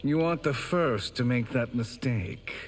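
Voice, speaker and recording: A man playing a scheming sorcerer villain in a video game, formal, unhurried and insinuating. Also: medium pitch, expressive, natural voice. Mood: sly, threatening. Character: sly, scheming male sorcerer, smooth villain. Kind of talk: dramatic video game acting.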